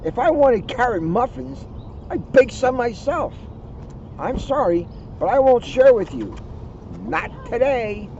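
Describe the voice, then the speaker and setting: A fussy, selfish storybook rabbit acted out by a man, indignant and high in pitch. He sounds loud and emphatic over clear outdoor noise. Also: big pitch swings, unhurried, exaggerated voice. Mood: curt refusal. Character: grumpy, fussy, selfish male rabbit gardener. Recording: noisy outdoor recording, slightly muffled.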